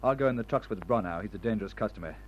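A wary, stern lawman, played by a male actor in an old radio serial. He talks fast in a lowish voice, on a dull recording. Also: a little hiss or hum, natural voice. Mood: grave, clipped. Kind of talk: old-time radio adventure serial acting.

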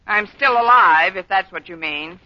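An actress playing a wry, quick-witted schoolteacher with an American accent and a wisecracking way in old-time radio comedy. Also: female voice, mid-range voice, close to an everyday voice. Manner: dry, wisecracking. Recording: dull old radio broadcast, studio audience behind.

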